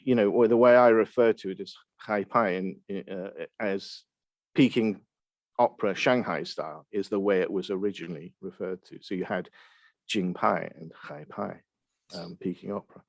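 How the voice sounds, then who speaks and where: Male scholar interviewed on a podcast, slightly deep, dry recording, hesitant explanatory delivery. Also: normal speed, gravelly, no echo. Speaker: podcast guest, Chinese literature expert.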